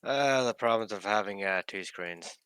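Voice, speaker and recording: A man's voice: lowish voice, normal speed, fairly bright tone, clear, resonant tone, dry recording. A man chatting casually as a player in an online tabletop game. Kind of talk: casual chat.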